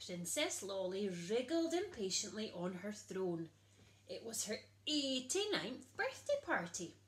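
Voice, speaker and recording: A woman, reading a children's picture book aloud in an animated storytelling style. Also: medium pitch, unhurried. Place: small child's bedroom, indoors. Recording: echoey small room.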